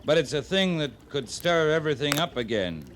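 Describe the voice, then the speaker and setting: An actor playing a steady, earnest US cavalry officer in a radio western, talking in a plainspoken, deliberate way. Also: male voice, on the high side. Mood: earnest, persuasive. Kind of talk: 1950s radio western acting. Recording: slightly noisy vintage radio recording.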